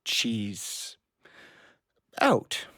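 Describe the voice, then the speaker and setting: A man playing an awkward middle-aged dad in an audio play, husky and hesitant, earnest in manner. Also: extremely slow, bright, forceful tone, dry recording, clean studio sound. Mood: nervous, fussing. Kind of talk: naturalistic audio play acting.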